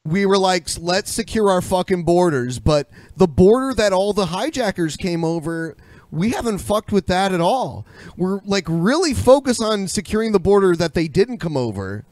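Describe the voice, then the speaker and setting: A man's voice: sits high, animated, moderate pace, a little mellow, no room sound. A man on a podcast panel debating politics casually, asking challenging questions with casual swearing.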